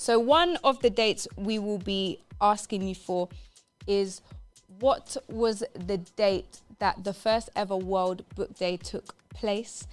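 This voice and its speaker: A woman's voice: medium pitch, smooth. A woman presenting a live radio show in an upbeat, emphatic way, repeating phrases for stress.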